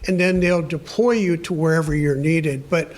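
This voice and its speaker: High-voiced man explaining training. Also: normal speed, darkish tone, a little hiss or hum. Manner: explanatory, rambling with restarts. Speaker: emergency preparedness trainer. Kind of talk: panel answering audience questions.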